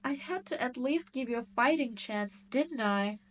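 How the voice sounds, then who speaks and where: A woman's voice: sits high, animated. A woman playing a cruel, arrogant demon queen in a roleplay audio drama, teasing and condescending as she speaks straight to the listener. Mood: amused, condescending. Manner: teasing, condescending, drawn-out delivery. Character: cruel, arrogant adult female demon queen, mocking and possessive.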